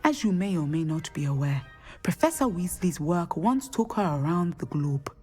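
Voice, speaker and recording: An actress playing a wise, dignified Ugandan divination professor, formal and deliberate with dry amusement, in game dialogue. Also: female voice, fairly low pitch, sing-song, mellow, breathy edge, faint music behind. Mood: dignified, gently amused.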